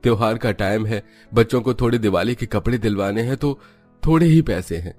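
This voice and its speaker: A man's voice: lowish voice, brisk, darkish tone, soft background music, close to an everyday voice. Man acting a subdued, humble poor husband. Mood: apologetic. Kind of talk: cartoon family drama voice acting.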